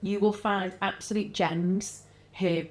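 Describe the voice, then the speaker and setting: A podcast guest, a woman whose mild Liverpool-area accent colours her speech, talks in an easy conversational way. Her voice sits at a middle pitch, and she slips into little acted-out voices when retelling what people said to her. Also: slow pace. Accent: mild Liverpool-area English. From Liverpool area, England. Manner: animated, acts out quoted voices, frequent laughs. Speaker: guest, wellness business founder.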